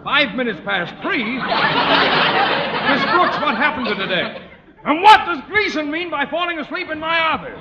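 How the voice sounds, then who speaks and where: An actor playing a pompous, blustering high school principal who sputters when flustered, in old radio sitcom style. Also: male voice, a little slow, a loud crowd. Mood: panicked. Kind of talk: 1940s-50s radio sitcom acting. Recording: muffled, noisy old radio recording, reverberant hall.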